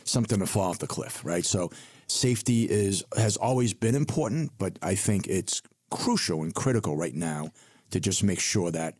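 A man's voice: deep voice, animated, moderate pace, a little mellow, no echo. A man co-hosting a retirement radio show as a financial advisor, talking in a conversational, reassuring way and leaning hard on key figures.

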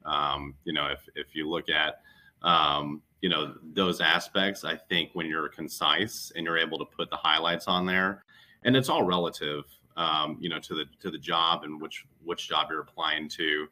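A man with a strikingly deep voice that is also very bright and forceful. He gives career advice conversationally, as an interview guest, and often circles back to rephrase what he is saying. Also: fairly even intonation, normal speed.

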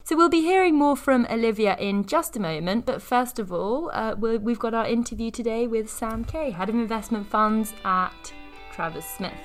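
A woman's voice: fairly high pitch, normal speed, soft background music. A woman hosting a podcast, introducing guests and asking interview questions.

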